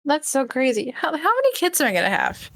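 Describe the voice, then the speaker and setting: Young woman chatting, slightly high voice. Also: huge pitch swings, a little fast, fairly bright tone. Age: 20s. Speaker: podcast co-host. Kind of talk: casual chat.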